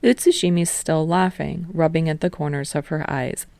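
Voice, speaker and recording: Low, gravelly woman reading a story. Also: steady tone, moderate pace, darkish tone, no room sound. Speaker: podfic reader. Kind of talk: audiobook reading of fan fiction.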